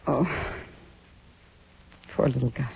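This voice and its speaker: A woman's voice: extremely low pitch, takes it slowly, creaky, warm tone, full character voice. A concerned, tender frontier woman in a 1950s radio western. The recording is dull, with faint noise. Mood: worried.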